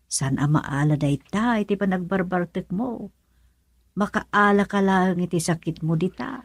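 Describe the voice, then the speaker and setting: A woman's voice: fairly low pitch, a little slow, dry recording. A woman acting in an Ilocano radio drama as a worried middle-aged mother, fretful and admonishing. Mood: worried scolding. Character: worried, scolding mother of a grown son.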